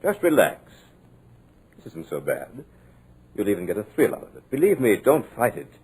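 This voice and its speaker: A husky, sinister radio villain. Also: male voice, on the low side, huge pitch swings, a little mellow, exaggerated voice. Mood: menacing. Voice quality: husky, measured, mocking. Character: sinister, cultured male villain holding a writer captive. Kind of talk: 1950s radio drama acting. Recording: old radio recording.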